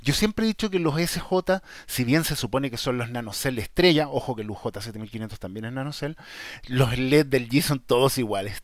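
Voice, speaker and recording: A man with a Chilean accent hosting a casual vlog, talking to viewers in a direct, emphatic and somewhat halting way. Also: medium pitch, no echo, clean studio sound. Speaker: YouTube channel host. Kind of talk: casual vlog talk, answering viewer questions.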